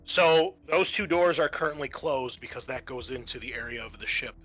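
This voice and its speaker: A man with a bright, middle-pitched voice acts as game master for a tabletop roleplaying session. He explains and narrates conversationally, feeling his way through sentences, with faint music underneath. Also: moderate pace.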